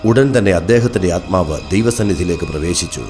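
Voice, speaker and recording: Deep-voiced male story narrator. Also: expressive, a little fast, a little mellow, soft background music. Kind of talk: scripted dramatic story narration.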